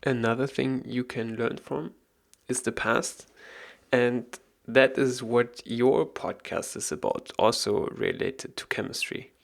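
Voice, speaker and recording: A male podcast host with a mid-pitched voice and a gravelly edge. He speaks in a calm, measured way, summarizing points and moving the interview along.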